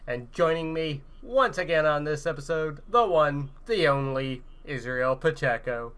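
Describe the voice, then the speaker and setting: A man's voice: a little high, measured pace, a bit bright, clear, resonant tone. A man hosting a podcast in a casual, chatty style. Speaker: podcast host.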